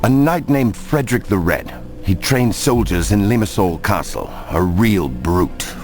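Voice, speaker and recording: An actor playing an obliging, sly Templar informant in a video game, courteous and conspiratorial. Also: male voice, fairly low pitch, expressive, measured pace, darkish tone, faint music behind. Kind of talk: scripted game cutscene dialogue.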